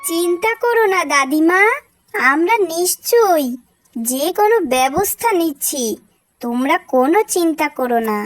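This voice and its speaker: A woman's voice: very high voice, lively intonation, unhurried, dry recording, faint music behind. A woman voicing a caring, devout young village girl, an earnest and encouraging older sister in a cartoon story. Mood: earnest, reassuring.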